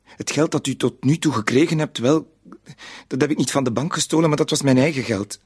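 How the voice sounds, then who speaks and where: A man's voice: mid-range voice, brisk, darkish tone, slightly rough, close to an everyday voice. An actor in a radio play, a man, playing an anxious, cornered middle-aged bank clerk who speaks hesitantly and pleadingly. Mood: desperate, pleading. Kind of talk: Dutch-language radio drama acting.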